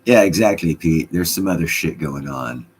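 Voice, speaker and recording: Low husky-voiced man, profane and laughing. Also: expressive, normal speed. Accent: slight Scottish. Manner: profane, laughing, loose and joking. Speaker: livestream host reading chat. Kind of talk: casual rambling livestream chat.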